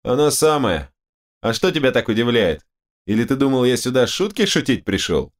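A man's voice: on the low side, very animated, normal speed. A man voicing a cocky, defiant adventurer hero with offhand banter in a fantasy audiobook.

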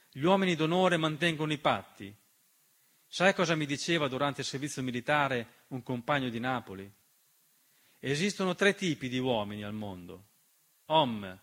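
A man performing a dramatic political theatre monologue in an emphatic, rhetorical manner with deliberate repetition. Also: fairly high pitch, pushed and bright. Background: piano accompaniment.

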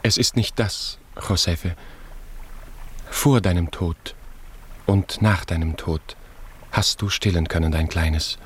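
An actor playing a courteous, earnest nobleman and father, solemn and measured in 1950s German radio drama style. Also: low-pitched, husky, heavily acted. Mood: earnest, pleading.

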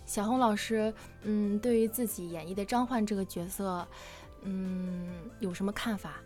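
A woman's voice: medium pitch, moderate pace, darkish tone, soft background music. A woman hosting a casual interview, asking questions in a conversational way. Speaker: host.

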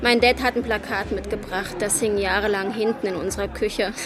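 A woman's voice: medium pitch, steady tone, a little fast, over music, lightly acted. An actress playing a wary, nervous young woman, hesitant and defensive, in a naturalistic radio drama. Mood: casual.

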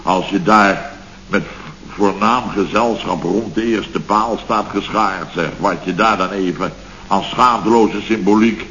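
Blustering boss, muffled noisy recording. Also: male voice, sits low, measured pace, sharp tone. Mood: grumbling. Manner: boastful, colloquial, indignant storytelling. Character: blustering, self-satisfied male building contractor boss. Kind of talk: Dutch radio comedy serial acting. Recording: dull, noisy old radio recording.

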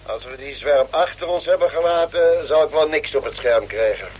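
Male actor as a level-headed space crewman, fairly high voice, old radio serial, faint background noise. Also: moderate pace, darkish tone, lightly acted. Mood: matter-of-fact. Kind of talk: old radio drama acting. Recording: faintly noisy vintage radio recording.

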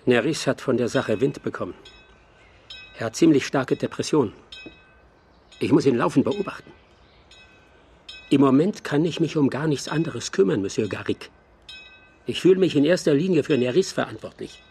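An actor playing a grave, cautious surgeon in a radio crime drama, with a breathy, very husky, measured voice. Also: male voice, medium pitch, animated, mellow. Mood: grave, worried. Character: grave, cautious surgeon, a professor guarding his patients.

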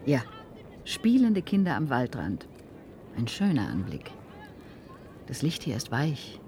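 Otherworldly detached woman, very low pitch, solemn, measured, radio drama, faint noise behind. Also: wildly swooping pitch, warm tone, heavily acted. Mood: cryptic, calm. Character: detached, alien-possessed woman leaving Earth, scornful of emotion. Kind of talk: German radio play acting.

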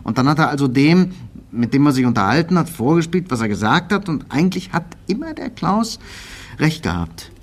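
A man's voice: fairly high pitch, big pitch swings, fairly quick. An actor playing an old acquaintance reminiscing in an interview, colloquial and circling back over his phrases. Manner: colloquial, repetitive storytelling. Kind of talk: conversational recollection.

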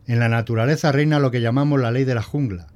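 A man's voice: medium pitch, steady tone, fast, darkish tone, lightly acted. Man playing a pompous, pedantic schoolteacher. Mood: pompous lecturing. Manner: didactic, pompous. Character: prim, pedantic male schoolteacher lecturing on his society's axioms. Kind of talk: scripted audio drama lecture.

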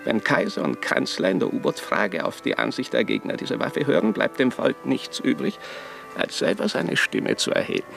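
A haughty gravelly bishop. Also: male voice, medium pitch, huge pitch swings, moderate pace, fairly bright tone, soft background music, full character voice. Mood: lecturing. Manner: condescending, lecturing. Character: haughty scheming bishop, older man, commanding.

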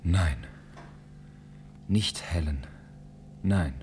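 A man's voice: sits low, very slow, darkish tone, a little hiss or hum, heavily acted. An actor playing a desperate, pleading, protective young man in a science-fiction radio play.

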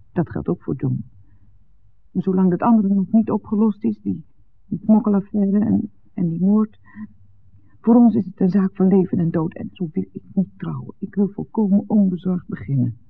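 A woman's voice: medium pitch, normal speed, gravelly, husky. An actress playing a worried young village woman, loving but firm, in a 1960s Dutch radio drama. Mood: anxious, determined. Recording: muffled, band-limited 1960s radio recording.

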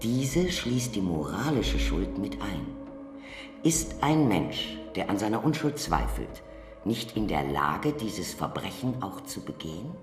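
An actor playing a stern male speaker of doctrine in a German radio drama, formal and deliberate. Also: lowish voice, very animated. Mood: stern, rhetorical. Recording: echoey room, music behind.

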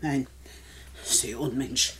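An actress playing a sharp-tongued, imperious old lady in a German radio play, speaking with biting, deliberate scorn. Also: very deep voice, fairly even intonation, slight background noise, exaggerated voice, poor audio. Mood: dry, scornful. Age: older.

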